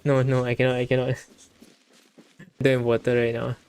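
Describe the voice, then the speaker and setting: Flat-toned man muttering through gameplay. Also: mid-range voice, moderate pace, clean tone. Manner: muttering reactions in short bursts, mild swearing. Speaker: game streamer. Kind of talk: casual live gameplay commentary.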